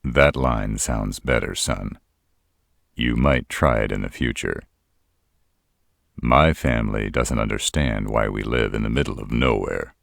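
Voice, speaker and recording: A man's voice: a bass voice, vocal fry, fairly bright tone, no echo, studio quality, full character voice. A man voicing a stern, shrewd middle-aged father-in-law in a roleplay audio drama, blunt and commanding in manner. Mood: wry, resigned.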